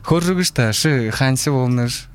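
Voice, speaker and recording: A man interviewing guests in relaxed conversation, giving brief encouraging acknowledgments. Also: medium pitch, a little slow, clear, resonant tone, faint music behind.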